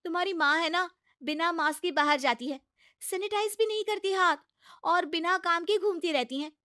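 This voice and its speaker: A woman's voice: extremely high pitch, normal speed, bright, forceful tone, no room sound, clean studio sound, pitched above the performer's own voice. A woman playing an exasperated, cheeky young daughter-in-law in an acted comic family story, insistent and pleading. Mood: complaining.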